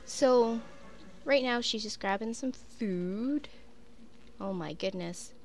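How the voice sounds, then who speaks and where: A woman's voice: on the high side, slow pace, darkish tone, a little hiss or hum. A young woman casually narrating her life-sim game, chatting to her characters, sniffly with a cold. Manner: chatty, talks to her sims, frequent "like" and "um". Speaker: gaming YouTuber. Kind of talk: casual let's play commentary.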